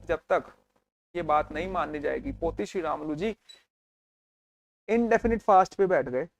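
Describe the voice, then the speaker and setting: Male teacher with a high voice, dry close recording, chatty storytelling lecture style. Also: a little mellow, dry recording. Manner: storytelling, mixes Hindi and English, checks in with students. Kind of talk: exam revision lecture.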